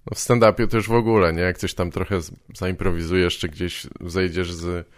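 A man hosting a casual conversation, speaking informally and haltingly, rephrasing as he goes. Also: deep voice, fairly even intonation, no echo, studio quality. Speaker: podcast host, interviewer.

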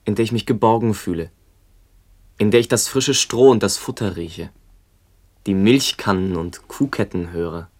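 A man playing a narrator who relives his rural boyhood at the war's end, in a deliberate, descriptive radio play monologue. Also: lowish voice. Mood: grave, remembering.

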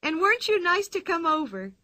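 A woman's voice: very animated, fairly quick, pushed and bright, no room sound, studio quality, exaggerated voice. A woman voicing a bold, cheeky young girl, bouncy and carefree. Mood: playful. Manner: high bouncy voice, merry and carefree.

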